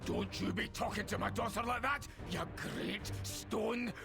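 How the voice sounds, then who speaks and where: A man playing a feisty, protective dwarf father in a video game, with a gravelly voice. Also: sits high, a little slow. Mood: indignant. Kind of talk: video game dialogue acting. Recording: game dialogue under loud music.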